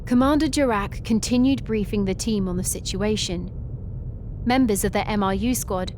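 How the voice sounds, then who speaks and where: A woman reads scripted sci-fi narration in an even, storybook manner. Her voice sits at a middle pitch. A clear, low rumble runs behind her. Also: normal speed.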